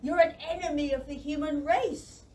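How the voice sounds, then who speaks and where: A woman's voice: high voice, fairly even intonation, moderate pace, darkish tone, natural voice. A woman playing an earnest, bookish village boy in a children's audio play, blunt but kind, by turns hesitant and exasperated. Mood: flustered. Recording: noisy, slightly distant microphone.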